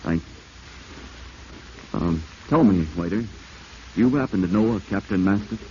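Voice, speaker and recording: A man's voice: low-pitched, measured pace, mellow. An actor playing a desperate, domineering corrupt lawman plotting murder, clipped and commanding, in 1940s radio drama style. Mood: controlled, scheming. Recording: muffled hissy vintage radio recording.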